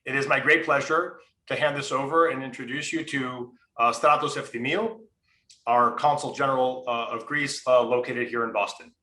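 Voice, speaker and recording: A man with a bright, forward voice hosts a formal webcast. He gives welcomes and introductions over a video call, and the room around him sounds echoey. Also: mid-range voice, steady tone, normal speed. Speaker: webcast host, entrepreneur in residence. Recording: roomy, echoey webcast audio.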